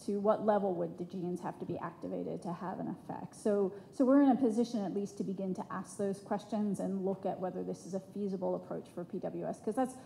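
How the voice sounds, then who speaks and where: A woman's voice: medium pitch, fairly even intonation, a little fast, vocal fry, hardly any pauses, mellow. A woman on a conference panel, summarizing the discussion in an upbeat way. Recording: hall reverb with faint noise.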